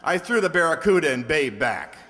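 A man's voice: on the high side, very animated, fairly quick, fairly bright tone. A man acting a breezy, wisecracking comic character in an old-time radio comedy read from a script. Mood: breezy, joking. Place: stage of a large hall. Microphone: stand microphone. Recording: echoey hall stage performance.

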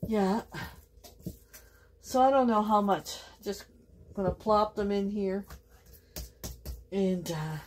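A middle-aged woman with a Canadian accent, chatting to viewers in a relaxed, rambling way while cooking in her home kitchen. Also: medium pitch, takes it slowly, pauses often, soft background music. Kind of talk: chatty kitchen vlog narration. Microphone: camera on counter.

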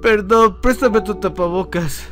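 A man voicing an excitable, nosy teenage boy in a fan dub, with a theatrical, pushy way of talking. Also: sits high, measured pace. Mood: chatty. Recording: music playing behind the voice.

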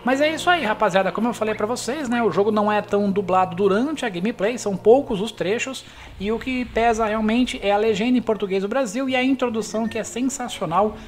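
A man's voice: high voice, fast, hardly any pauses. A man with a Brazilian accent giving casual commentary as the host of a gameplay video. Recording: game music behind the voice. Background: video game music.

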